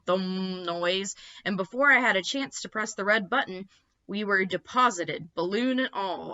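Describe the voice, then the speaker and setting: A woman reading a children's storybook aloud in an expressive narrating style. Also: fairly low pitch, dull audio.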